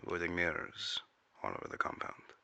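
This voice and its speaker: Casting: actor as serious male agency interrogator, deep and forceful, clipped official delivery, audio drama. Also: expressive, brisk, vocal fry, exaggerated voice. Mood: formal.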